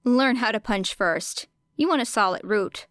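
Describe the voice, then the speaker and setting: A woman's voice: a little high, lively intonation, unhurried, a bit bright, no echo. A woman voicing a brash, teasing young female street fighter who coaches bluntly and casually in an audiobook. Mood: brisk, instructive. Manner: blunt, cocky, casual, sweary. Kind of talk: audiobook character dialogue.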